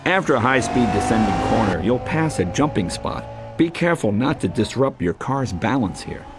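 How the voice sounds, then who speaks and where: A man with a mid-pitched voice delivers scripted racing-game tutorial narration. He speaks in a measured, instructive way, like a driving coach. Loud music plays over the recording along with car sounds. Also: big pitch swings. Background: loud music, car engine sounds.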